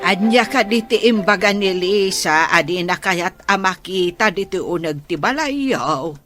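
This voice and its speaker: A woman's voice: medium pitch, lively intonation, a little slow, a bit bright, faint music behind. A woman with a Filipino Ilocano accent playing an old, questioning grandmother in a radio drama. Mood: puzzled, concerned. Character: slow-spoken, questioning old grandmother of the house.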